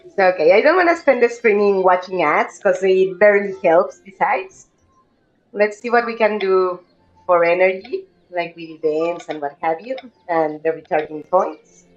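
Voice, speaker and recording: A woman's voice: mid-range voice, lively intonation, normal speed, highs cut off, faint music behind. Chatty woman streamer. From Mexico. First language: Spanish. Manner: chatty, greets viewers by name, reads game text aloud. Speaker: game streamer. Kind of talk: casual live-stream gameplay chat.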